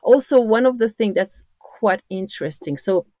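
A woman's voice: medium pitch, lively intonation, normal speed, dark tone, no echo. A woman in her fifties from Corsica with a French accent, chatting as a podcast guest in a rambling way with many restarts. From Corsica, France. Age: 50s. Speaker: podcast guest, trail runner. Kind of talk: casual interview chat.

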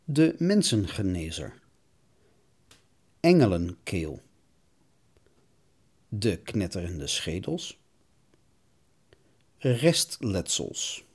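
A man's voice: low voice, sing-song, extremely slow, pauses often, a little mellow, slightly rough. A man hosting a podcast, reading scripted narration in a wry, tongue-in-cheek way. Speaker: podcast host.

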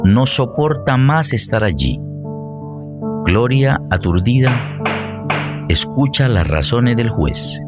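A man playing the narrator of a suspenseful radio serial, announcing in a measured, dramatic way. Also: medium pitch, very animated, music in the background.

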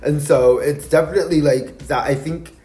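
A man's voice: fairly high pitch, steady tone, moderate pace, dark tone, soft background music. Candid man in his thirties, roomy sound. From Mexican heritage. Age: 30s. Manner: candid, laughs often, swears casually. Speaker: podcast co-host. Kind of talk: casual chat.

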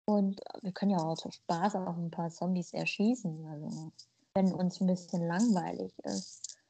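A woman's voice: fairly low pitch, fairly even intonation, moderate pace, creaky, warm tone, a little hiss or hum, rough recording. A woman born in Germany co-hosting a podcast, talking bluntly and playfully in casual chat. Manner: blunt, playful, spins jokes out. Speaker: podcast co-host.

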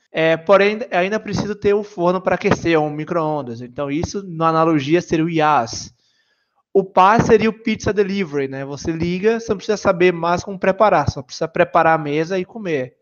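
A man from Salvador with a Bahian accent, a software engineer guest explaining cloud computing in a casual, self-deprecating livestream chat. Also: high voice, fairly quick, lo-fi sound. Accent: Bahian Brazilian Portuguese. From Salvador, Bahia, Brazil. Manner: self-deprecating, jokey, full of filler tags.

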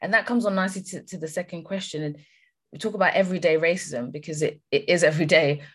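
Brief: Kenyan-born female host, low-pitched, chatty and affirming. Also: fast. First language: Swahili. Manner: warm affirming interjections, many fillers. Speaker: podcast host. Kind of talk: casual conversational interview.

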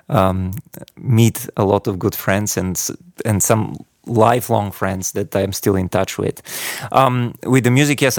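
A man's voice: on the low side, vocal fry, dry recording, studio quality. A man, a guest chatting casually in an interview, laughing often with many fillers. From Bulgaria. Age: 30s. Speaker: guest conductor.

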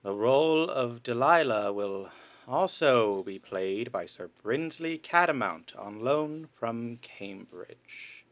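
Man playing a pompous, forceful radio director. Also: mid-range voice, expressive, slow pace. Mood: solemn. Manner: pompous, theatrical, imperious. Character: pompous, grandiose male radio artistic director, easily exasperated. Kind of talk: comedy radio play acting.

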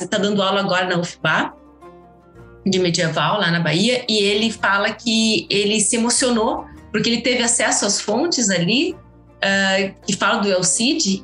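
A woman with a fairly high voice talks through her ideas in an easygoing interview, circling back and restarting often. Her voice rings in an echoey room. Faint music plays behind her. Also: a bit bright. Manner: rambling with many restarts and fillers. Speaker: guest historian. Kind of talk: casual interview talk.

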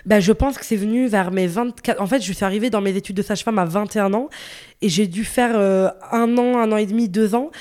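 Woman, late twenties, from northern Italy, interview guest, energetic, casual, easy laugh. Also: mid-range voice, brisk. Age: 20s. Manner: bubbly, laughs easily, many fillers.

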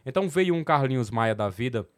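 A young man with a northeastern Brazilian accent from the São Francisco valley, chatting on a podcast. He tells stories with animated energy, laughs a lot, and drops casual swears. His voice sits at a middle pitch. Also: a little fast. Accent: Pernambuco sertão, São Francisco valley. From Petrolina, Pernambuco, Brazil. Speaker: comedian guest on a podcast.

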